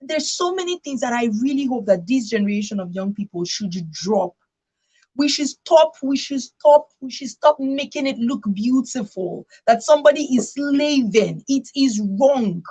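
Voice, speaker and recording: A woman's voice: high voice, animated, moderate pace, dark tone, slightly rough. A woman hosting a radio discussion, speaking emphatically and repeating phrases to drive her points home. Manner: emphatic, repeats phrases for stress, checks with "right?". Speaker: radio talk show host.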